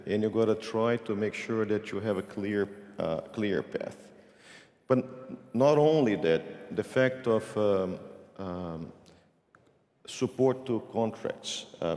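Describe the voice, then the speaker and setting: A man with a fairly low voice answers as a conference panelist, walking through his points one by one with small restarts. He sounds measured rather than rushed. His voice carries the long reverb of a large conference hall. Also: lively intonation, creaky, darkish tone.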